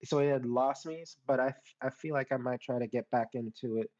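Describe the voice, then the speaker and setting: A man's voice: medium pitch, a little fast, dark tone. A man with a mid-range voice in a casual group podcast chat. He is conversational and inquisitive, heard through a dull, lo-fi online connection. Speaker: podcast co-host.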